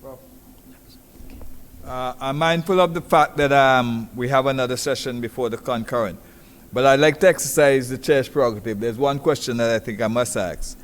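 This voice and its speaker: A man's voice: medium pitch, normal speed. A man chairing a conference session, courteous and formal. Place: large conference hall. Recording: hall reverb with faint noise.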